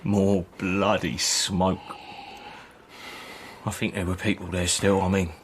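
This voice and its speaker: A man acting a brisk, blunt soldier in a period radio drama, shaken by war but practical, with terse, plain-spoken, bossy delivery. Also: low-pitched, little pitch movement, slight background noise. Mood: shaken, bleak.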